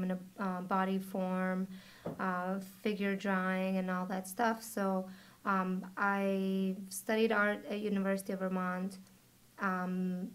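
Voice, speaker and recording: A woman from Bosnia and Herzegovina, a body paint artist, speaking reflectively in a documentary interview, with soft hesitant pauses. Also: medium pitch.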